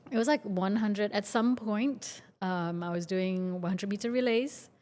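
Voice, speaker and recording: A woman in her forties from Singapore, a doctor speaking as a podcast guest in a relaxed, chatty way with many fillers. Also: medium pitch, measured pace. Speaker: guest, functional medicine doctor. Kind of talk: casual interview talk.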